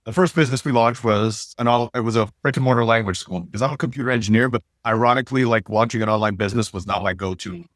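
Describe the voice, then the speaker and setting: A man in his forties who grew up in Turkey and speaks Turkish as his first language, telling stories as an interview guest in a lively, animated way. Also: mid-range voice, dry recording, studio quality. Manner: fast, animated storyteller. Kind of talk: casual interview storytelling.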